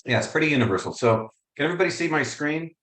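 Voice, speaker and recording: A man's voice: a little high, lively intonation, very fast, a bit bright, echoey room, low-quality recording. A man giving an upbeat, conversational coaching presentation on a video call. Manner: upbeat, conversational, self-deprecating. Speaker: real estate coaching presenter. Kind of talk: webinar presentation.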